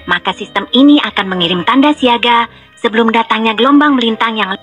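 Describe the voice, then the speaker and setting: A woman voicing a cheerful young panda tour guide in a children's educational game, speaking clearly and instructively. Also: a little high, fairly quick, fairly bright tone, dull audio, natural voice. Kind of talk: scripted educational narration for children. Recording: music behind the voice.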